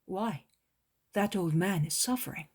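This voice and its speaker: A woman voicing a calm, gentle male teacher who speaks quietly. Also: lowish voice, unhurried.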